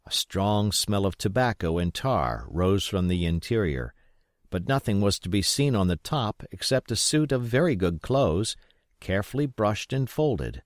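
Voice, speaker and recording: A man reading an adventure novel aloud as expressive scripted narration. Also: lowish voice, unhurried, no room sound, clean studio sound. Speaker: audiobook reader.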